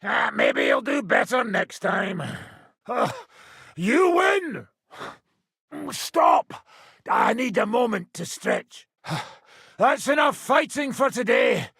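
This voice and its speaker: Video game role: boisterous, hard-drinking medieval fight trainer, very husky and forceful, gruff taunts, unhurried delivery. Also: male voice, high-pitched, wildly swooping pitch, no echo, clean studio sound, exaggerated voice. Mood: boisterous, teasing. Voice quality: raspy, gravelly.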